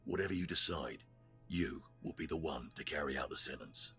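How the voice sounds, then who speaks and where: An actor playing a gruff, blunt, menacing Sith jailer in a video game. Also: male voice, extremely low pitch, fairly quick, a bit bright. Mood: businesslike, blunt. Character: gruff, menacing male Sith jailer running slave pits. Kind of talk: scripted video game dialogue. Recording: music behind the voice.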